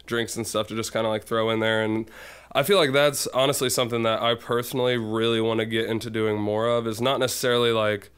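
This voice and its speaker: A man's voice: on the low side, level tone, fast, a bit bright, clear, resonant tone. A man talking as a guest musician in a casual podcast chat, rambling and full of fillers.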